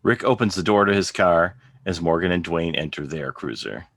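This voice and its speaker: A man reading scripted stage directions as narrator, in measured, declarative sentences. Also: deep voice, vocal fry, noisy background.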